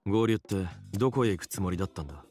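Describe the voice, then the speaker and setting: A man's voice: low voice, lively intonation, racing, a little mellow, recorded from a distance. An actor voicing a cool, blunt, indifferent young man in a visual novel. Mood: questioning. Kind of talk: Japanese visual novel voice acting. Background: game music.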